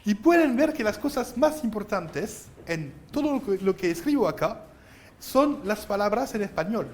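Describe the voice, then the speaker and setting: A man whose first language is French, lecturing a university math class and explaining each step with halting restarts. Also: high voice, expressive, slight background noise. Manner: explains step by step, insistent, gently scolding; halting, restarts while writing on board. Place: lecture hall.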